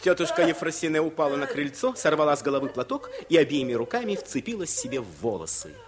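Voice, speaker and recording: A man's voice: on the high side, very animated, fast, a crowd in the background. A man narrating a 1950s radio play in a scripted storytelling style. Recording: noisy old 1950s radio recording.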